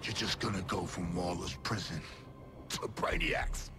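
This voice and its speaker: A man's voice: low voice, steady tone, measured pace, bright, forceful tone. An actor playing an arrogant male superhero who taunts a gang of villains with contempt, heard as game audio. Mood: contemptuous. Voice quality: sneering, contemptuous. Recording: game audio under music.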